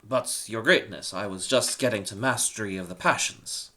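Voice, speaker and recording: A man's voice: lowish voice, moderate pace, bright, forceful tone. A man voicing a scornful, irritable court philosopher with a pedantic manner in a humorous storybook. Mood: objecting.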